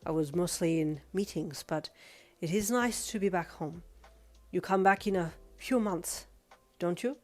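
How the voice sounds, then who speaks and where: A woman voicing a kind middle-aged father on the phone, with a warm voice and an odd mixed European-sounding accent. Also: on the low side, measured pace, lightly acted. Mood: gentle. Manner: calm, generous.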